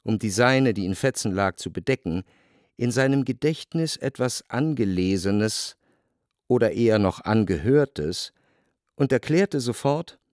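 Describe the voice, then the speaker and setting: A man's voice: lowish voice, animated, measured pace, darkish tone, no room sound. Dry-recorded man narrating literary drama. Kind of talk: literary scripted narration in a German radio play.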